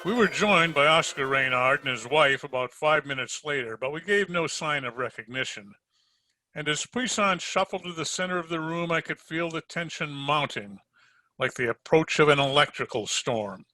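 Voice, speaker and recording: A man's voice: on the high side, lively intonation, moderate pace, bright, forceful tone, no room sound, rough recording. A man playing a dry, wisecracking private detective in an old-time radio drama, narrating his case in hardboiled style. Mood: grave, suspenseful. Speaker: amateur radio drama player.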